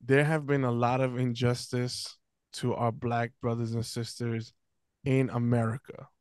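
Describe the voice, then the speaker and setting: A man's voice: mid-range voice, flat delivery, a little slow, darkish tone, clean tone, no room sound. A young man on a video call, speaking earnestly into a close desk mic, with many fillers and restarts. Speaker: podcast guest speaker. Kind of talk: casual panel discussion. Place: small room at home, video call. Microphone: close desk mic on stand.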